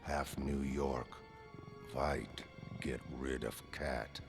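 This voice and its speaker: A man voicing a grim, suspicious masked vigilante in a terse, growling monotone for a motion comic. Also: rumbling low, drawn-out words, vocal fry, music in the background, heavily acted. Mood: grim menace. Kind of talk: dramatic motion comic narration.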